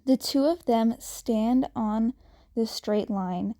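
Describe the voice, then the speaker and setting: Woman reading fanfic out loud real slow, soft mellow voice, a bit high, trips over words sometimes. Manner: slow, halting reading with restarts; voices characters by raising her pitch. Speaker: fanfiction reader.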